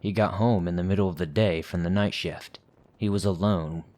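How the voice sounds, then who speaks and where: A man with a fairly low voice narrates scary listener stories for his podcast. He reads in a steady, measured way, keeping the telling even and unhurried. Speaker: horror podcast host. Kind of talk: scripted horror story narration.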